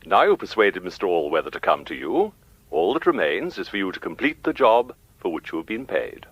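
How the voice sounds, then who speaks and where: Coolly menacing, courteous crime boss. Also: male voice, deep voice, big pitch swings, breathy edge, no room sound, highs cut off, exaggerated voice. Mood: smug, courteous. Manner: polite, formal, measured menace. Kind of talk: radio thriller acting.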